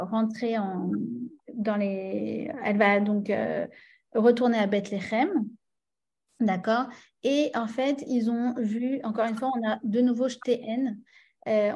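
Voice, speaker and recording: A woman with a French accent leads a class in a casual, talkative way. Her voice sits a little high yet sounds warm and mellow. She stops often to check that her listeners follow. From France. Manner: chatty, many fillers and restarts, checks in with listeners. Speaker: religious teacher. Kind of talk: teaching a class.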